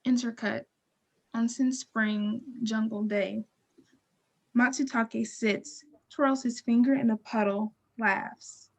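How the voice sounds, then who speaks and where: A woman narrating stage directions from a script in a measured, neutral, descriptive way. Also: on the high side, fairly even intonation, gravelly, poor audio.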